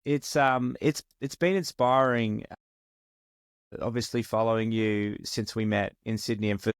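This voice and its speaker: A man hosting a podcast, asking questions in a friendly, halting way with many fillers. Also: fairly low pitch, steady tone, clear, resonant tone, dry recording. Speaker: podcast host. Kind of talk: casual interview.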